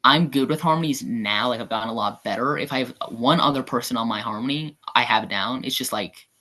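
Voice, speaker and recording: A man's voice: mid-range voice, brisk, sharp tone. A young man in casual podcast conversation, talking in a chatty, rambling way full of fillers. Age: young adult. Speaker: guest actor.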